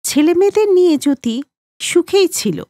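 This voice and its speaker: A woman's voice: extremely high pitch, lively intonation, normal speed. A woman reading scripted narration for a cartoon family story as its storyteller.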